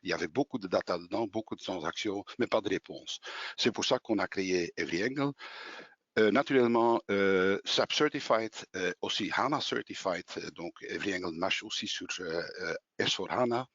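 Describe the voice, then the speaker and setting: A man's voice: low-pitched, moderate pace, fairly bright tone, husky, dry recording. Man, 60s, Flemish accent, native Dutch, hesitant, dull muffled audio. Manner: hesitant, searching for French words. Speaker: software company co-founder presenting. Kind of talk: webinar presentation. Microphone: video call. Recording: dull, dry webinar audio.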